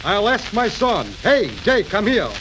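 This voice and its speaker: Excitable high-voiced car-dealer father. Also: a little slow. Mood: haggling. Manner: haggling, excitable, inverted phrasing. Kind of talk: 1930s radio comedy acting. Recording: crackly, hissy 1930s radio recording.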